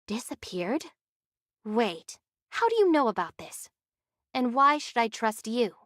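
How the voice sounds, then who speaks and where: An actress playing an earnest, protective young heroine in an anime game, with a direct, questioning manner. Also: female voice, on the high side, sing-song, unhurried, a bit bright, no echo, clean studio sound. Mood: suspicious, demanding.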